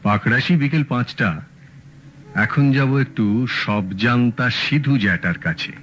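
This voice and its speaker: A man playing a sharp, composed private detective in an old radio drama, speaking calmly and politely. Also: medium pitch, a little slow, close to an everyday voice. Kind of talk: 1970s radio drama acting. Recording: dull lo-fi radio recording.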